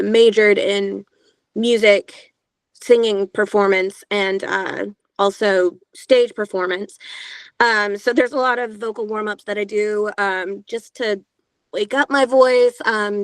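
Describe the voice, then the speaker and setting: A woman from Georgia in the US, an audiobook narrator, talking casually in an interview with a higher-pitched, younger-sounding voice and a chatty manner full of filler sounds. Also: a bit bright, smooth, dry recording.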